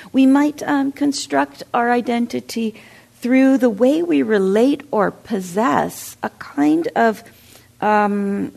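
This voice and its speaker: Gravelly-voiced woman meditation teacher. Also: mid-range voice, darkish tone. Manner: reflective, asks the audience questions. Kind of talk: dharma talk. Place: meditation center hall.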